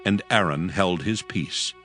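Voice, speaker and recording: A man with a lowish voice narrates scripture in a solemn, measured way. He reads from a script as narrator, with clear background music under his words. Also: fairly bright tone.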